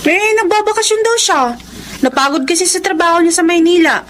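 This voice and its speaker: A woman's voice: extremely high pitch, animated, a little fast, a bit bright. An actress playing an eager, headstrong nineteen-year-old village girl in a Filipino radio drama, girlish and assertive. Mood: chatty, defensive. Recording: faint background noise.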